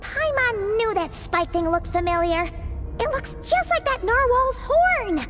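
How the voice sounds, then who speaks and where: Squeaky tiny fairy girl, excitable, chattery, loud game music behind. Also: unhurried. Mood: curious. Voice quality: very high, squeaky, childlike. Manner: excitable, chattery, speaks of herself in third person. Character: tiny floating female fairy companion, excitable. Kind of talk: video game voice acting.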